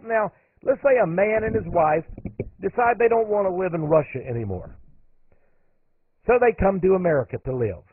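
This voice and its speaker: Guy doing a radio talk show, husky voice, tinny phone-line sound, dry. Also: high-pitched, dry recording. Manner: emphatic, voice climbs sharply on stressed points. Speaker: radio host. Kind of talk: radio monologue.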